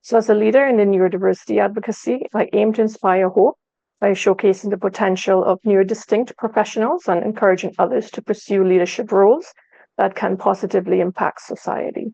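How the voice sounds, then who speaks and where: A woman from Canada giving a measured, formal introduction on a panel. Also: mid-range voice, fairly even intonation, gravelly, warm tone, dry recording, lo-fi sound.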